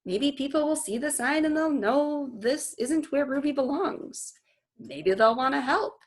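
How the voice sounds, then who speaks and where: An earnest young girl from a children's storybook, voiced by a woman reader. She pleads and insists eagerly, full of big ideas, in a raised, unhurried voice. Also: high voice, animated, pitched above the performer's own voice. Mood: earnest, pleading. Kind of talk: children's novel read-aloud.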